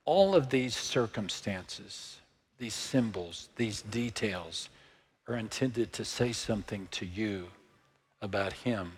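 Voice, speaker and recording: A man in his sixties with a Texan accent, preaching a sermon in a slow, measured way that sinks to hushed, near-whispered lines. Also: lowish voice, lively intonation, slightly rough, long reverb tail. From Texas. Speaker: pastor.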